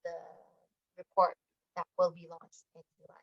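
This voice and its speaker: A woman's voice: fairly low pitch, completely flat delivery, normal speed, no room sound, highs cut off. A woman explaining a community campaign as a guest in a radio discussion, speaking over an online call.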